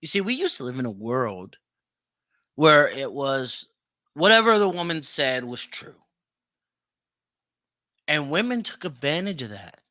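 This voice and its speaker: A man's voice: a little high, big pitch swings, measured pace, pauses often, pushed and bright, no echo, clean studio sound. A man hosting a solo opinion podcast, speaking emphatically and repeating phrases for effect. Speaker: podcast host.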